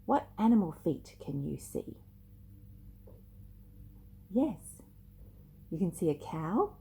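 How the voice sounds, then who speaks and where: An older woman reading a picture book aloud expressively and deliberately, speaking directly to young listeners. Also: fairly low pitch, creaky, dark tone, husky. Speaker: storyteller.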